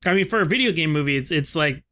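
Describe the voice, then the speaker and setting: A man's voice: fairly high pitch, animated, quick pace, pushed and bright. A young man co-hosting a podcast in casual, conversational talk. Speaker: podcast co-host. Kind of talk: casual chat.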